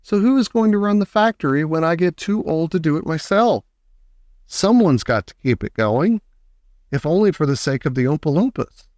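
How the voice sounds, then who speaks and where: A man voicing an eccentric old chocolate factory owner in a storybook reading, kindly but brisk and emphatic. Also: a little high, animated, darkish tone, no echo, clean studio sound, pitched above the performer's own voice, close to an everyday voice. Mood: earnest, confiding.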